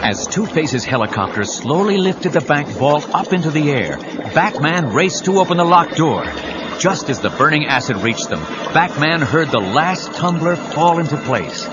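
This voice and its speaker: A man's voice: a little high, big pitch swings, moderate pace, noisy background. A man narrating an action audio drama with urgent, suspenseful scripted delivery. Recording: dull cassette audio with dramatic music.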